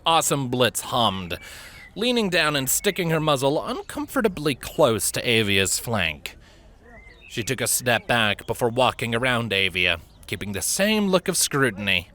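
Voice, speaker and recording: A man's voice: mid-range voice, big pitch swings, unhurried, bright, forceful tone. A man reading a fan fiction story aloud as a scripted narrator.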